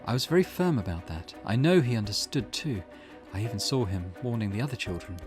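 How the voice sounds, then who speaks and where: A man's voice: fairly low pitch, lively intonation, fairly quick, music in the background, close to an everyday voice. A man voicing an anxious, earnest grieving mother in a visual novel, speaking hesitantly. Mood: regretful.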